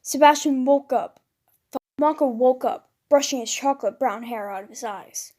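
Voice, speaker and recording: A woman with a very high voice reads a story aloud. She narrates it as comedic fan fiction, with a dramatic storytelling delivery. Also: moderate pace, warm tone. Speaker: fan fiction writer and reader.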